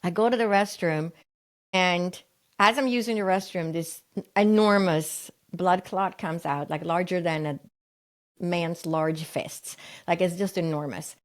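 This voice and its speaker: A woman's voice: on the low side, unhurried. A middle-aged woman, raised in Sweden, telling a personal story in an interview and acting out the voices of people she quotes. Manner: animated retelling, acts out quoted voices. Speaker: guest.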